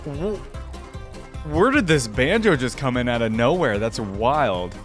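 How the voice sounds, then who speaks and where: A man's voice: mid-range voice, lively intonation, a little slow, soft background music. A man giving enthusiastic, informal reaction commentary as the host of a fan channel.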